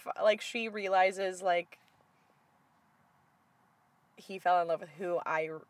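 An animated woman talking through a movie plot with a friend, acting out lines as she goes. Her speech is loose and casual, dotted with "like" and quick asides. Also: fairly low pitch, no room sound. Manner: animated retelling with quoted lines, frequent "like". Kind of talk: casual movie recap chat.

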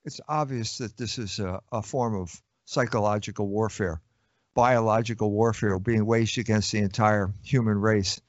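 A man's voice: fairly low pitch, steady tone, normal speed, dry recording. Emphatic male guest, dry muffled sound. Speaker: interview guest. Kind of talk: interview answers. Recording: dry, dull lo-fi audio.

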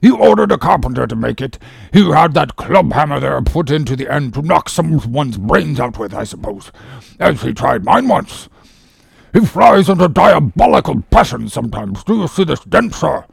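A solemn, self-important old-time ship's surgeon as a male narrator plays him, pitched high and swinging in tone. He speaks with deliberate, formal gravity and a dry wit, as in a seafaring storybook. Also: very animated, vocal fry, slightly rough, dry recording, exaggerated voice. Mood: dryly facetious. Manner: imperturbable, formal, long-winded, deliberate. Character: pompous, dryly joking English ship's surgeon, solemn rogue.

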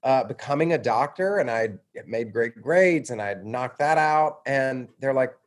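American male, medium pitch, reflective interviewee sharing personal stories with self-deprecating candor. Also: expressive, moderate pace. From suburban Atlanta, Georgia, United States. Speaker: interview guest, writer. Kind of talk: conversational personal storytelling.

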